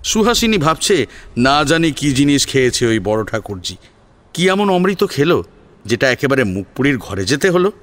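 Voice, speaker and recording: Expressive man narrating a story. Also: mid-range voice, moderate pace, a little hiss or hum. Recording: soft music under narration. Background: sitar music.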